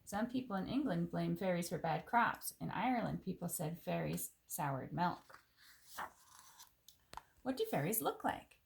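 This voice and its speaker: A woman reading a children's picture book aloud in an expressive, clearly phrased way, with casual asides. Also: lowish voice, measured pace, slight background noise.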